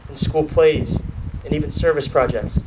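A man's voice: a little high, fairly even intonation, normal speed. A young man, just graduating, gives the student speech at an outdoor podium, earnest with light jokes. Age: young adult. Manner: earnest, lightly joking, reads from notes. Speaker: student speaker. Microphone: podium mic filmed from a far camera. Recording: distant outdoor recording with noise.

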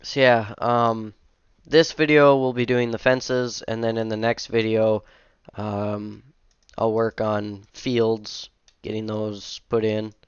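A man's voice: lowish voice, flat delivery, slow, clean tone, dry recording, lo-fi sound. A young man with a cold and a sniffly voice, casually rambling through a commentary as he edits a game map, with lots of fillers and self-corrections. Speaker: modding streamer. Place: home room at a computer. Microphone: headset.

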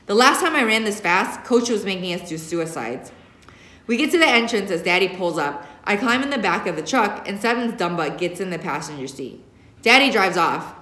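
A woman in her thirties, a teacher reading a young-adult novel aloud in an expressive first-person narrating style. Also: medium pitch, normal speed. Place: indoor classroom. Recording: echoey classroom recording.

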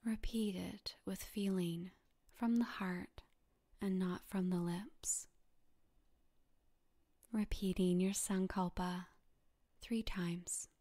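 A woman softly guiding a meditation in a breathy, half-whispered voice, calm and soothing, with gentle instructions and long pauses. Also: mid-range voice. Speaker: yoga nidra meditation guide. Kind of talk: guided meditation narration.

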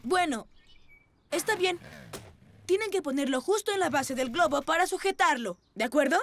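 A woman voicing an eager, heroic young boy rescue motorbike in a children's cartoon, earnest and energetic. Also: high voice, moderate pace, slight background noise. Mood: earnest, reassuring.

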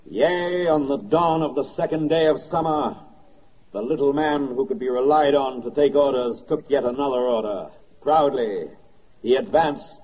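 An actor playing a grave, bitterly ironic wartime narrator in a 1940s radio drama, speaking in measured, declamatory phrases. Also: male voice, medium pitch, lightly acted. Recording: dull vintage 1940s radio recording, music behind. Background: music.

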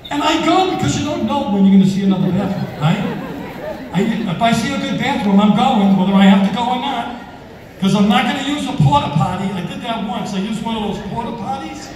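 A man's voice: very high voice, fairly quick. Sixty-year-old man doing stand-up in a hall. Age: 60s. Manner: deadpan self-mocking delivery. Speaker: stand-up comedian. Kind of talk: stand-up routine. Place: large theater stage. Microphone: handheld mic, filmed from far in the audience. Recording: echoey hall with crowd, from far off. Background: audience laughter and applause.

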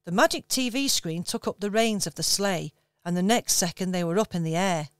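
Woman narrating a children's story, smooth voice. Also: fairly low pitch, expressive, no echo. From England. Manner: gentle, measured storytelling.

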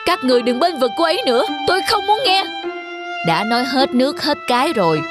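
A woman voicing a jealous, hot-tempered young husband in an animated folk tale, with an accusing, emphatic manner. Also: high voice, fairly quick. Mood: furious. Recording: music under the voice.

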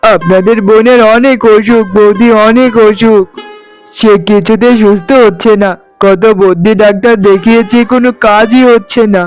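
A tender young village husband from a cartoon fairy tale, acted by a man. His voice is very high for a man, earnest and pleading, with faint music behind it. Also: steady tone, normal speed, lightly acted. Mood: worried.

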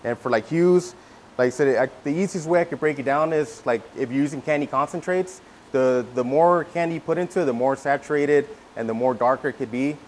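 Relaxed, repeating male painter from California. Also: medium pitch, a little fast, slight background noise. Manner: relaxed, many repeated words and fillers. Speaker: guest instructor, custom painter. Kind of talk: casual workshop teaching. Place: indoor event room before a backdrop. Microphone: lapel mic.

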